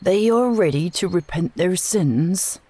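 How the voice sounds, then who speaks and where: A woman's voice: fairly low pitch, lively intonation, measured pace, mellow, dry recording, voice pushed up. Woman acting a timid, meek male village elder. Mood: timid, pleading. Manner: meek, respectful. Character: timid, deferential male village elder, nervous and eager to please. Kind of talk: dramatised storybook reading.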